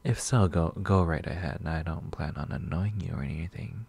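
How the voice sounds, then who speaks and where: A man playing a shy, sweet, nervous coffee shop regular in a soft-spoken ASMR roleplay, gentle, hesitant and rambling. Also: low-pitched, normal speed, creaky, breathy edge, exaggerated voice. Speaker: roleplay audio performer.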